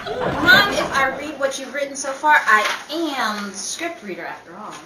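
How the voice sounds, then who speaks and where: An actress playing a suspicious, melodramatic Hollywood script reader in a 1930s radio comedy, with theatrical delivery. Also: female voice, high voice, big pitch swings, moderate pace. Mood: teasing. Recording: roomy, distant, noisy with ambience.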